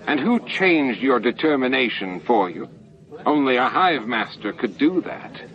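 A man's voice: medium pitch, very animated, measured pace, voice pushed up. A man voicing a shrewd, cutting male alien master who mocks and accuses coolly. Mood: cool accusing. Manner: mocking, feigned surprise. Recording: dull lo-fi audio, faint noise.

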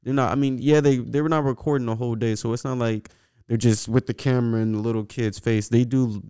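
A man's voice: medium pitch, fairly even intonation, fast, mellow, clear, resonant tone. A young man co-hosting a podcast in casual, slangy conversation, repeating himself as he makes his case, on a close microphone. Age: young adult. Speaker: podcast co-host. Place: small indoor podcast room. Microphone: close podcast microphone on boom arm.

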